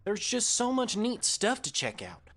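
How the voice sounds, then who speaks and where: A woman's voice: on the high side, huge pitch swings, a little slow, a bit bright, exaggerated voice. A woman voicing a cocky, chatty, folksy male ace pilot in a space audio drama. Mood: curious. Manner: breezy, boastful, folksy.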